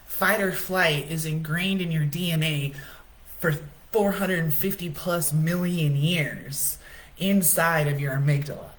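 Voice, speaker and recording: Woman, low deep voice, casual chatty spiritual coach hosting a live talk. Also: steady tone, measured pace. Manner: casual, chatty, says "right?" often. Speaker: livestream host.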